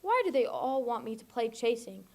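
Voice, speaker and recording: A young woman voicing a friendly, puzzled, slow-moving male storybook creature musing to himself. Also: fairly high pitch, big pitch swings.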